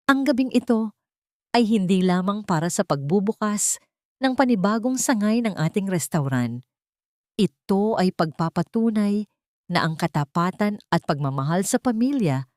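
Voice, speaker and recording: A woman narrator plays a proud, deceitful businessman husband in an audio drama. He speaks like a smooth, solemn orator. The sound is dry and free of room echo. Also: mid-range voice, lively intonation, normal speed, lightly acted.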